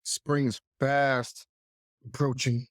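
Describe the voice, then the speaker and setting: A man's voice: mid-range voice, level tone, extremely slow, no echo, clean studio sound. A man with a cold and a nasal, stuffy voice, hosting a solo wrestling podcast with emphatic opinions. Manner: opinionated, emphatic, clears throat. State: congested, under the weather.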